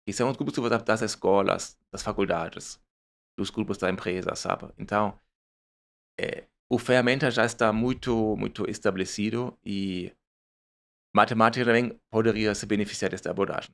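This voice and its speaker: German-accented man with a gravelly low voice. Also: fairly even intonation, moderate pace. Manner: searches for words, restarts phrases, says "sabe?" and "tipo". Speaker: startup founder guest. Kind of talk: conversational interview.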